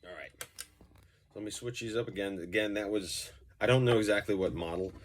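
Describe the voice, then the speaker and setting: A man's voice: lowish voice, a little fast, slight background noise. A man giving a casual, informal gear demonstration, explaining things in a loose, rambling way as a musician and reviewer.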